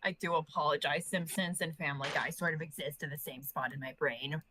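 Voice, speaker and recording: A woman with a slightly low voice, speaking informally among friends. She sounds conversational and unscripted. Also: steady tone, a little fast, crowd noise. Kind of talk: casual chat with friends.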